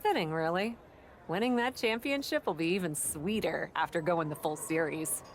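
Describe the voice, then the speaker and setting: A woman's voice: fairly low pitch, normal speed, slight background noise, close to an everyday voice. A woman playing a confident, determined indie pro wrestler with a wry, self-assured manner in video game cutscene dialogue.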